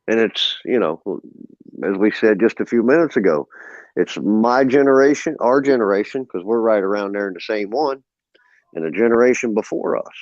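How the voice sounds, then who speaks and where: A man's voice: fairly low pitch, lively intonation, moderate pace, darkish tone, no echo, highs cut off. A man in his fifties, calling into a livestream and ranting about politics in a preachy, emphatic way. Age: 50s. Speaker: caller on a livestream. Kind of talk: casual political rant.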